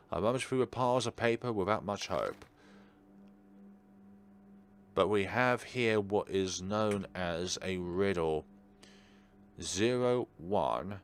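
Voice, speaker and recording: A man's voice: low-pitched, creaky, slight background noise. A man hosting a let's play, reading game text aloud dramatically and adding casual asides. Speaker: gaming video host.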